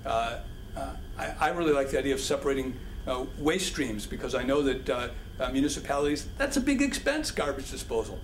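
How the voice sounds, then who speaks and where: A man answering audience questions at a public policy forum, halting and full of restarts. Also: medium pitch, big pitch swings. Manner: halting, many restarts and fillers. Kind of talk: public Q&A talk. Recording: echoey hall with background noise.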